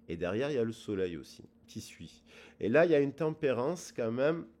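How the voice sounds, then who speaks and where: A man giving a casual tarot reading, rambling with many pauses and fillers. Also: on the low side, dark tone. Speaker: tarot reader.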